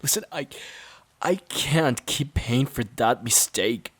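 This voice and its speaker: A man playing a worn-out, resentful boyfriend in an audio drama, pleading in a halting, strained way. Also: mid-range voice, expressive, a bit bright, husky, heavily acted. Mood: anguished, heated. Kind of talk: dramatic audio roleplay monologue.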